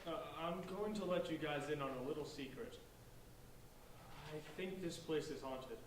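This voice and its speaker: A man's voice: on the high side, moderate pace, a little hiss or hum, natural voice. A man acting an uneasy teenage boy who believes in ghosts, in a staged play reading. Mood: secretive. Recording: echoey room, distant mic.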